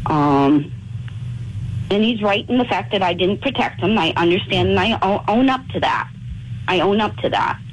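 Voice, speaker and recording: A woman's voice: mid-range voice, animated, moderate pace, slight background noise, rough recording. A woman calling in for advice on a radio show, earnest and repeating herself as she admits her faults. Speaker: caller.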